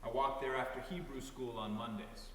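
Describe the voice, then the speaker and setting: A man's voice: medium pitch, steady tone, normal speed. A man reading a scripted first-person story aloud as a narrator. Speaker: reader in a dramatic reading. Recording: very echoey hall, distant mic, faint noise.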